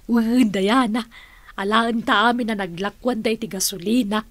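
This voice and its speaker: A woman's voice: fairly high pitch, fairly even intonation, moderate pace, dry recording, close to an everyday voice. A woman playing a wealthy, playful auntie with a Filipino accent in a radio drama, proud and indulgent. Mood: mischievous. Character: wealthy, playful, generous auntie who owns businesses.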